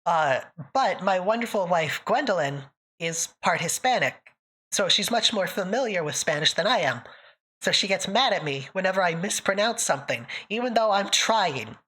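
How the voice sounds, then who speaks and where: A woman's voice: lowish voice, expressive, moderate pace, fairly bright tone, breathy edge, rough recording. A woman from northern Canada hosting a livestream, chatting with viewers in a thick accent, joking and laughing often. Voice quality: thick put-on-sounding accent. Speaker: livestream host. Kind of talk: casual livestream chat answering viewers.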